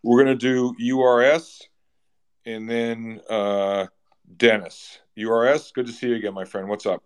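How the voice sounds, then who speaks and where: A man hosting a live audio room, briskly handing off the room and calling on the next speaker. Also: mid-range voice, level tone, smooth. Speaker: host. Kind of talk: casual moderating.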